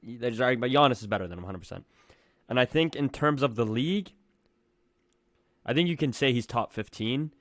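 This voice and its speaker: Fast-talking young male sports podcaster. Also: medium pitch, animated, a bit bright, no echo, clean studio sound. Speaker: podcast co-host. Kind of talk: casual sports debate.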